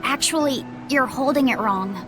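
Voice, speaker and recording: A meek little boy from a video game, voiced by a woman, obedient and hesitant. The voice is high and gentle in manner, over clear music. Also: normal speed, natural voice. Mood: timid, polite. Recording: music behind the voice.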